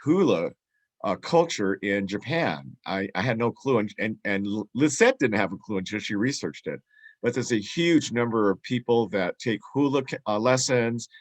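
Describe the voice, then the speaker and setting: Excitable male host, dry close sound. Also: fairly low pitch, no room sound. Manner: excitable, laughs easily, swears casually; halting, many fillers when summarizing. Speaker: show host and interviewer. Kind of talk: casual chat and interview.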